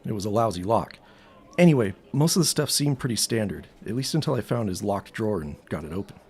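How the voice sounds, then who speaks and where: An actor playing an earnest teenage boy sleuth in a period audio drama, secretive and boyish, with a husky voice. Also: lowish voice, animated, quick pace, darkish tone. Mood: urgent.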